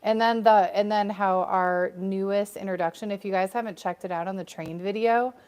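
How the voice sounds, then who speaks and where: A woman's voice: mid-range voice, fairly even intonation, normal speed, a little mellow. An American woman co-hosting a casual live stream, chatting upbeat and reading out viewer comments.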